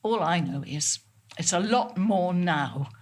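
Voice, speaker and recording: A woman's voice: on the low side, lively intonation, takes it slowly, a little mellow. An actress playing a frail, confused elderly woman in a theatrical monologue, wistful and often losing her words. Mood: confused, uneasy. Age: older.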